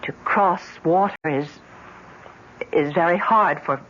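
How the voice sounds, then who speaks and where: An actress playing a troubled, steadfast adult daughter in an old-time radio drama. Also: female voice, fairly low pitch, slow pace, a bit bright, a little hiss or hum. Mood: haunted, hushed. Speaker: actress narrating and playing the lead. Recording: dull vintage radio recording, music underneath.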